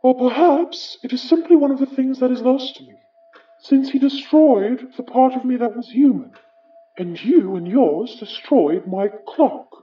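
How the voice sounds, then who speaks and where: An actor playing the summoned remnant of an English gentleman, wry, wistful and formal, with a British accent, in an audio horror drama. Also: sits high, distant mic, muffled recording, faint music behind. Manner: measured, formal, musing, ornate phrasing.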